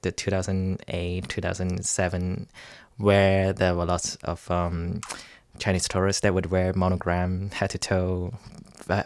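A low-pitched man with a Hong Kong accent talks as an interview guest. His delivery is conversational and explanatory, like an artist discussing work on radio. The studio sound is dry, with very little room to it. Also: smooth, dry recording.

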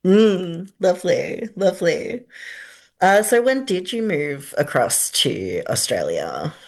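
A woman's voice: lowish voice, sing-song, takes it slowly. A woman hosting a community radio interview, asking curious questions and answering with short encouraging affirmations.

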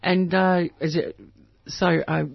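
A woman's voice: lowish voice, flat delivery, takes it slowly, gravelly, no echo, muffled recording. A woman interviewing a guest, asking questions in a chatty, encouraging way. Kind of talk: casual interview.

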